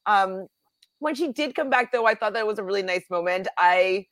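A woman's voice: mid-range voice, animated, normal speed, a bit bright, no room sound. A woman chatting casually and giving her informal opinions as a panelist on a recap show. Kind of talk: casual chat.

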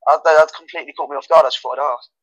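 A man's voice: on the high side, fairly even intonation, rapid-fire, highs cut off. A man hosting a casual podcast, asking chatty questions with some stumbles. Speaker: podcast host.

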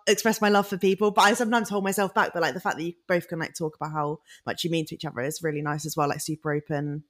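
A woman's voice: deep voice, level tone, very fast. A woman hosting a podcast, bubbly and effusive as she chats with guests. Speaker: podcast host. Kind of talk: casual chat.

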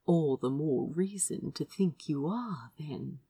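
A woman voicing a gentle, earnest male prince in an audiobook, speaking somberly and lovingly in a soft, breathy whisper. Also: deep voice, animated, slow pace, no echo, clean studio sound, exaggerated voice. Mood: fond.

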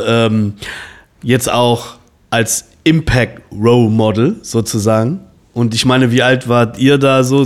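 A man in his forties hosts a relaxed interview podcast. He wanders through long questions, stopping and restarting with plenty of fillers, at a middling pitch. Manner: rambling, many fillers and restarts. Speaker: podcast host. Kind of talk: casual interview chat.

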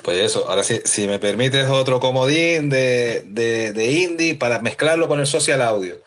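A man moderating a casual social audio chat, with a relaxed, announcer-like way of speaking. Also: mid-range voice, moderate pace. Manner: easygoing, animated, radio-announcer-like delivery.

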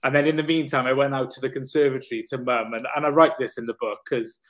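A man from South Wales tells his story as a guest in a relaxed talk. He is animated and sweary, with a mid-pitched voice that swings up when he gets going. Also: fast. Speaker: guest, personal trainer and coach. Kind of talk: candid storytelling in conversation.

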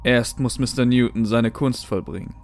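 A man playing a formal member of a mission who gives orders in a horror audio drama, speaking in a measured way. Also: medium pitch, a little mellow, soft background music, lightly acted. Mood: firm, formal.

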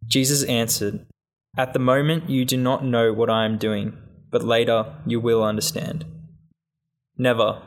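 A man reading a Gospel passage aloud in a measured, solemn way. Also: mid-range voice. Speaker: scripture reader.

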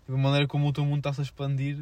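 A man's voice: medium pitch, monotone, rapid-fire, a little mellow, no room sound. A young man with a European Portuguese accent chatting casually as a podcast co-host, with slangy, filler-heavy speech. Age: young adult. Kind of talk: casual unscripted chat.

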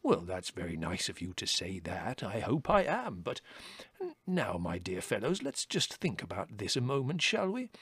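A man's voice: fairly low pitch, wildly swooping pitch, moderate pace, few pauses, a bit bright, breathy edge, no echo, exaggerated voice. A man voicing an aging chief rabbit in a storybook, drawling, over-polite, detached and condescending. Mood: politely dismissive. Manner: drawling, over-polite, unruffled, with musing repetitions.

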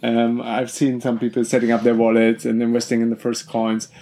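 Podcast host, man, mid-pitched, warm agreeing manner, asks easy questions. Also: completely flat delivery. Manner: friendly, encouraging, quick to agree. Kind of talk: casual podcast interview.

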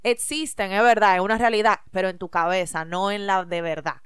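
Smooth-voiced reflective woman podcaster. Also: mid-range voice, steady tone, a little fast, dry recording, clean studio sound. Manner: reflective, emphatic, gently encouraging. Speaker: podcast co-host. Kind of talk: casual chat.

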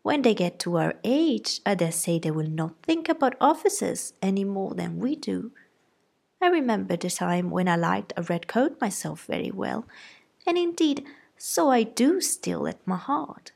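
A woman voicing an excitable, fussy middle-aged mother who gushes eagerly in a storybook reading. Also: measured pace, gravelly, heavily acted. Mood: gushing. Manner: shrill, gushing, eager.

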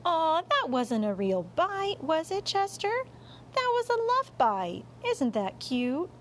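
A woman's voice: very high voice, very animated, slow pace, a little hiss or hum, voice pushed up, exaggerated voice. A doting storybook mom, as a woman narrator plays her, coos in a raised, swooping voice. She is fussy and full of affection. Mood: cooing. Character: doting mother cooing baby talk at her cat. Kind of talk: audiobook character voice.

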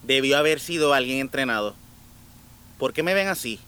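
A man's voice: mid-range voice, expressive, normal speed, bright, forceful tone, clean tone. An actor playing a defensive male foreigner in an audio drama.

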